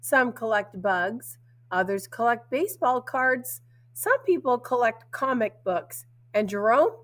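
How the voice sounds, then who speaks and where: A woman teacher with a cold, her voice raspy and hoarse, reading a picture book aloud to children. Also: a little high, fairly bright tone. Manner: slow, deliberate read-aloud with stressed words.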